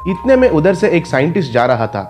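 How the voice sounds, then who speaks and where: Male narrator for children's animated tales, scripted storytelling over a light music bed. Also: fairly high pitch, brisk.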